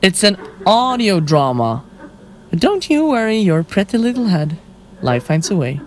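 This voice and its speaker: A woman's voice: mid-range voice, sing-song, measured pace, a little hiss or hum. Woman playing a theatrical, defiant condemned killer. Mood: cocky. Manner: theatrical, flippant. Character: defiant, deluded condemned female killer and audio artist. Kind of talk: audio drama acting.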